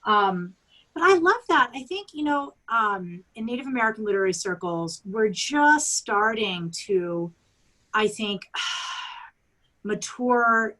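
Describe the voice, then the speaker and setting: A woman's voice: medium pitch, animated, slow pace, sharp tone. A woman author in casual, chatty conversation over a video call, often saying "you know" and "like". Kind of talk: casual chat.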